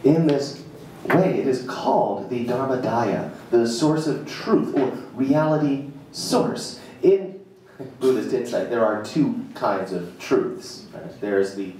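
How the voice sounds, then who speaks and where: Slow, deliberate man in an echoey room. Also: medium pitch, darkish tone. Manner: deliberate, emphatic, pausing between short phrases. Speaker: live reader of an essay. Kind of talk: live dramatic reading of a written essay. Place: live reading at a jazz club. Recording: echoey room, far from mic, faint noise.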